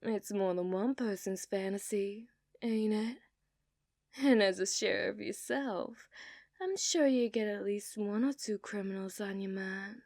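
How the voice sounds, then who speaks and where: A woman voicing an obsessive, dominant outlaw cowgirl in a put-on Southern accent, for an ASMR roleplay, teasing and dropping into soft whispers. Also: mid-range voice, dry recording, studio quality. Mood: flirtatious. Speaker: ASMR performer.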